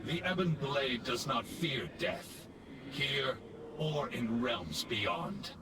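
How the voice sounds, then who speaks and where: An actor playing a stoic undead death knight in a game, with a cold, measured, commanding manner. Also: male voice, medium pitch, big pitch swings, background noise. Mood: defiant resolve. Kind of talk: dramatic game dialogue. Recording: distant voice under loud music.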